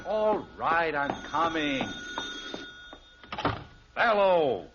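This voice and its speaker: A man's voice: a little high, very animated, extremely slow, highs cut off, heavily acted. An actor playing a grumpy, sleepy middle-aged American father in an old radio sitcom, grumbling in exasperation. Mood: exasperated. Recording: noisy, band-limited vintage radio recording.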